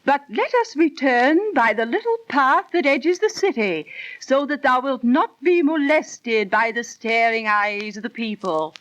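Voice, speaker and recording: High, lilting devoted young sister. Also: female voice, lively intonation, measured pace, fairly bright tone, low-quality recording. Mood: tender. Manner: stagey, lilting archaic diction. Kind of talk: 1930s radio acting.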